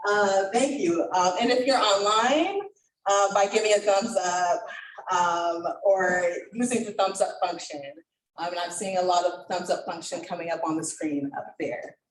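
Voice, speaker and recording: A woman co-facilitating an event, presenting discussion guidelines to a group in a measured, deliberate way. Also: mid-range voice. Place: indoor event room with online participants. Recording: echoey room, distant mic, faint noise.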